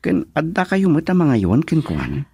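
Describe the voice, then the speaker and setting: An actor playing a reluctant, brooding young husband in an Ilocano radio drama, with a Filipino accent. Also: male voice, fairly high pitch, huge pitch swings, moderate pace, creaky, a little mellow, slightly rough, no room sound, clean studio sound, exaggerated voice. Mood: defensive.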